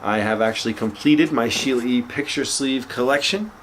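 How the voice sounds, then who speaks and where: Man, slightly nasal, congested with a cold, casual chat, mid pitch, faint noise behind. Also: normal speed. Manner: relaxed, chatty, with frequent fillers. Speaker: record collector vlogger. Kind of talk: casual show-and-tell chat.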